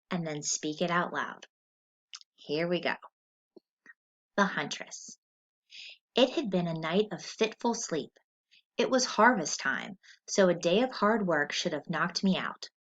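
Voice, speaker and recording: A woman teacher reading a story aloud in an expressive, deliberate, instructional way, as for a lesson with questions. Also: on the low side. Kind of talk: scripted story read-aloud with comprehension questions. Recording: dull lo-fi recording.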